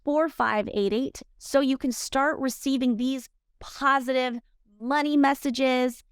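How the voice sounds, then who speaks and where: Filipino-born lady podcast host, kinda high smooth voice, encouraging and earnest, dry close mic. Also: steady tone, takes it slowly, no echo. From Philippines. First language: Filipino language. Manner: earnest, encouraging, addresses listeners directly. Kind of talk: motivational solo podcast talk. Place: home office.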